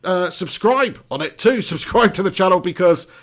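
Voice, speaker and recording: A man's voice: high-pitched, animated, moderate pace. A young man hosting a live football watch-along, reading out chat messages and calling the action in a casual, laughing way. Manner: chatty, laughing, spiking loud for match action.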